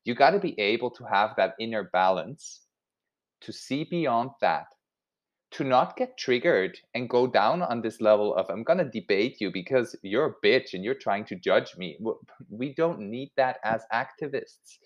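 A man's voice: medium pitch, expressive, normal speed, muffled recording. A man from Switzerland giving an informal motivational talk as an activist, speaking in a conversational way. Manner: conversational, acts out imagined dialogue.

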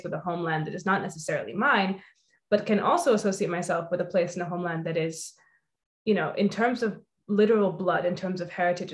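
A woman's voice: lowish voice, fairly bright tone. A young woman hosting an interview, talking in a thoughtful, earnest way and filling her sentences with "you know" and "I think". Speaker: host. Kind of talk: reflective conversation.

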